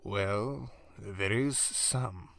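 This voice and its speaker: A man playing a gloomy, practical Russian crewman, grave and deliberate, in an old-time radio comedy. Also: on the low side, gravelly, fairly bright tone, no room sound, studio quality, heavily acted.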